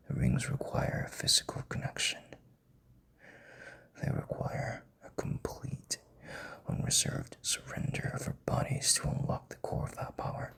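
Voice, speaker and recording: A man playing a proud, stubborn king in an intimate audio drama, commanding, with breathy, gravelly half-whispers. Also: low voice, fairly bright tone, exaggerated voice. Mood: urgent, insistent. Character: proud, stubborn, commanding young king, bitter rival husband.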